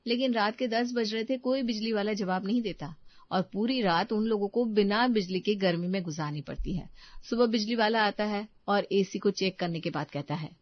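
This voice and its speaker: A woman's voice: mid-range voice, normal speed, no echo, dull audio. Brief: female storyteller, mid-range voice, scripted moral tale narration, close dry sound.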